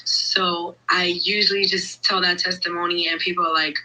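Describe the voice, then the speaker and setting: A woman from Brooklyn, calling in to share her personal testimony, eager and halting. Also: on the low side, level tone, bright, forceful tone, poor audio. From Brooklyn, New York. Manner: eager, halting, many fillers. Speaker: guest caller.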